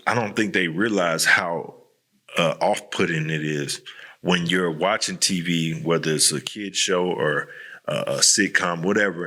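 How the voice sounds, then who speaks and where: A man's voice: sits low, pushed and bright. A man hosting a podcast in a casual, candid chat, talking bluntly in slangy, profanity-laced language. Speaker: podcast host.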